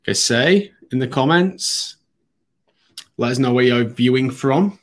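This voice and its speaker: A man presents a live online webinar in a loose, conversational way. He is a little sniffly from hay fever and often restarts his sentences with ums. His voice sits at a middling pitch for a man. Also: measured pace. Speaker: webinar host.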